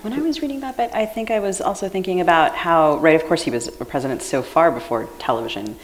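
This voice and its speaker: A woman co-hosting a live podcast interview at a book festival, asking questions in a quick, animated manner. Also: fairly low pitch. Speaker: podcast co-host.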